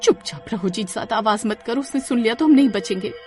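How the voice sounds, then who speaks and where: A woman's voice: on the high side, fast, darkish tone, soft background music, pitched above the performer's own voice, lightly acted. A woman storyteller voicing a frightened, fretful village wife in a horror tale. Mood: hushed urgency. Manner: urgent, anxious. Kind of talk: horror story character acting.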